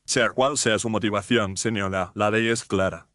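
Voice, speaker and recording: Man playing a formal, low-voiced police inspector. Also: steady tone, normal speed, fairly bright tone, dry recording, clean studio sound, natural voice. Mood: stern. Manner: formal, authoritative. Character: stern, official male police inspector, by the book. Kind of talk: dramatized audio story acting.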